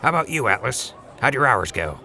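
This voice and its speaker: A man's voice: medium pitch, very animated, brisk, creaky, pushed and bright, some chatter behind, heavily acted. A man playing an easygoing young rancher who jokes with his crew, in a fan audio drama. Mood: laid-back. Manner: laid-back, joking.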